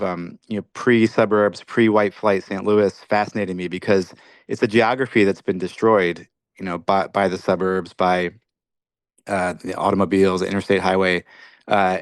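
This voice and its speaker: Author guest, male, very low voice, dry close sound, thoughtful and halting. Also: no room sound. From Belgium. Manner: reflective, many restarts. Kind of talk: podcast interview.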